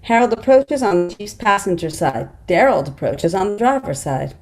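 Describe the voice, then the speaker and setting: Mid-pitched woman narrating a script. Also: lively intonation, moderate pace, faint music behind. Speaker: stage-direction reader.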